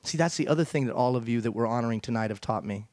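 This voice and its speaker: A man's voice: medium pitch, rapid-fire, a little mellow, no room sound, clean studio sound. Emphatic man giving a keynote speech. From Iran. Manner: emphatic, rhetorical, asks the audience questions. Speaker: keynote speaker. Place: large theater stage. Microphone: headset mic.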